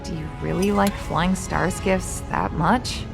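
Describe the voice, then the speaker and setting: A woman's voice: fairly low pitch, fairly even intonation, slow, gravelly, full character voice. A woman voicing a breezy, teasing young star pilot bantering casually in a video game. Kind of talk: English game dub, bantering dialogue. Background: music.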